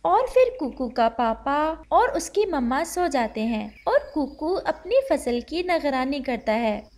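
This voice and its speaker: A woman's voice: high voice, very animated, a little slow, a little mellow. A woman narrating a scripted children's story.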